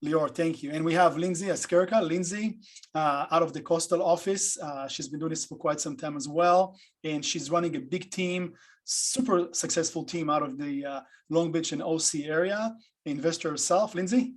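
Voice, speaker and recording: A man casually hosting an online panel on a video call, talking off the cuff in an informal, halting way. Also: on the high side. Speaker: host.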